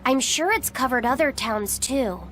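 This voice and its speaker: An actress voicing a solemn, sensitive young boy spirit in a video game, soft-spoken and serious. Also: sits high, animated, normal speed, faint music behind. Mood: grave. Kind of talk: dramatic English game voice acting.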